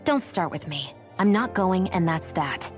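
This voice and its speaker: A woman playing a cold, sharp-tongued, single-minded young woman in a video game cutscene, curt and scornful. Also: on the low side, animated, unhurried, faint music behind. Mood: curt, exasperated.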